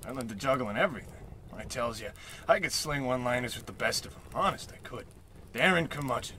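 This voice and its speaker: A man playing a wistful, excitable old tramp in a radio comedy, folksy, theatrical and rambling. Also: medium pitch, quick pace, a little hiss or hum. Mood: wistful, grumbling. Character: down-on-his-luck old tramp, failed vaudevillian, wistful and excitable. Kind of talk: old-time radio comedy play acting.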